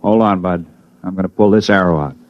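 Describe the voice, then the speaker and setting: An actor playing a tough, blunt camp guard, gruff but earnest, in a 1950s radio drama. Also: male voice, low-pitched, fairly quick, dry recording, soft background music, natural voice. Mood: gruff, dry. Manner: gruff, blunt, plain-spoken. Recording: dry, dull old radio recording.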